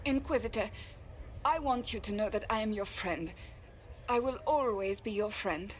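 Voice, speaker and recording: A woman's voice: medium pitch, normal speed, slight background noise, lightly acted. Actress as an earnest, formal warrior woman. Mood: awkward, earnest. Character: earnest, awkward, loyal warrior woman, a stern friend. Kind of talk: video game cutscene acting.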